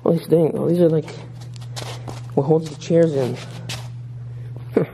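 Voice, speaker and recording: A man's voice: on the high side, steady tone, a little slow, creaky, warm tone. Chatty man exploring an echoey empty building. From Canada. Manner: rambling, chatty, addresses viewers as guys. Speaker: urban explorer vlogger. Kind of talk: casual walkthrough narration. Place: large empty abandoned building indoors. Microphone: handheld camera mic. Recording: echoey empty room with faint hum.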